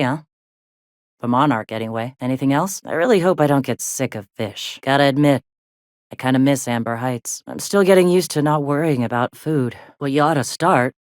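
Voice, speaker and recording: Gruff frontier rebel medic, close and dry. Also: female voice, a bass voice, no echo. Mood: tired, blunt. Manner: folksy, blunt, drawling slang with swearing. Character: gruff, plainspoken frontier woman medic, weary but principled rebel. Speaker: video game character, medic and deputy leader. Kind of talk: video game dialogue.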